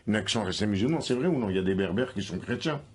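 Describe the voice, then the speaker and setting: A man's voice: on the low side, expressive, racing, a loud crowd. A man speaking as a guest in a televised panel debate, explaining his point insistently.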